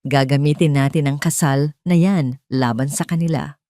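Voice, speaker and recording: A woman with a Filipino accent voicing a determined, protective friend in an audio drama, calm but emphatic. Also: low voice, normal speed, no room sound, clean studio sound, natural voice. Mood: fierce determination.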